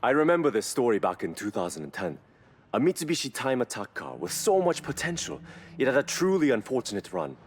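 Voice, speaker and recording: A man's voice: mid-range voice, very animated, brisk, husky. A man playing an earnest, knowledgeable car mechanic in video game cutscenes, explaining things with reverence for engines. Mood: earnest, admiring.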